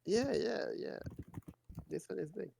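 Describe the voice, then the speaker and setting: A man with a French accent chats casually over a voice call on a live stream. His voice is gravelly and the sound is dry and close. He insists, then hedges, often restarting his sentences. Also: high-pitched, lively intonation, measured pace, a little mellow, no echo. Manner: hesitant, insistent, many restarts. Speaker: stream guest.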